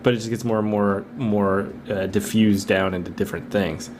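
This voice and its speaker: A man's voice: fairly low pitch, fairly even intonation, moderate pace, noisy background. A man co-hosting a podcast, chatting casually about movies in an informal, amused way with some swearing. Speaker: podcast co-host. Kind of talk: casual movie review chat. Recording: music playing behind the voice. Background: background music.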